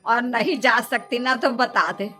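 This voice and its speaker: A scornful older mother-in-law from a cartoon, acted by a woman. Her voice is very high and sharp, scolding and taunting with drawn-out words. Faint music sits behind it. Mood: indignant. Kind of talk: Hindi children's cartoon dialogue.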